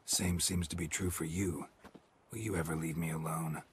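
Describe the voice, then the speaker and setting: An actor playing a stoic, world-weary monster hunter in a video game, speaking tersely in a gruff, deadpan voice. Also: male voice, a bass voice, moderate pace, a little hiss or hum. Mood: dry, suspicious. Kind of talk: video game cutscene dialogue.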